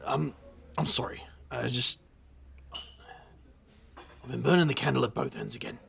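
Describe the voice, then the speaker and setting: An actor playing a weary, brooding man with a gravelly voice and dry, bitter wit in an audio drama. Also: medium pitch, moderate pace, a little hiss or hum. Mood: uneasy, evasive. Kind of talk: audio drama narration and dialogue.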